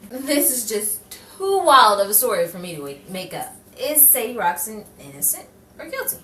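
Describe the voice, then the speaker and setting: A sardonic newspaperwoman from a noir radio play, acted by a woman, tells her story theatrically. Her voice is on the high side and swings widely, heard from a distance in a roomy, echoing space. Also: very animated, normal speed, bright, forceful tone. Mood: dramatic, knowing. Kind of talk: old-time radio noir acting and narration. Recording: roomy distant stage recording, faint noise.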